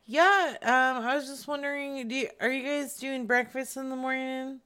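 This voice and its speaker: A woman's voice: high voice, fairly even intonation, normal speed, bright, forceful tone, smooth. A woman making prank calls in casual, crude, teasing banter. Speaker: prank caller.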